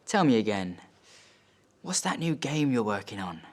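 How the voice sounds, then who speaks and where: A man voicing a proud, scornful father of about sixty in a stage monologue, with sneering, drawn-out, emphatic reproaches. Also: mid-range voice, sing-song, close to an everyday voice. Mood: sardonic.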